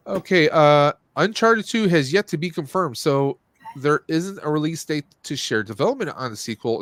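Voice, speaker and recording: A man's voice: on the high side, a bit bright, dry recording. A man hosting a casual movie review stream, talking in a rambling, conversational way and often rephrasing himself. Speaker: podcast host.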